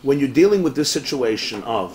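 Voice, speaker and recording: A man, a rabbi, teaching a Talmud class to students in a lively, rhetorical way, tossing in Hebrew and Yiddish terms. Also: medium pitch, fairly quick, a little hiss or hum. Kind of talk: lively Talmud class lecture with back-and-forth.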